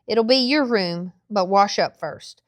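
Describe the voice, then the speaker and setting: A woman voicing a kindly, folksy old seaman who is gentle and reassuring, in a storybook reading. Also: medium pitch, takes it slowly, no room sound, natural voice. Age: older.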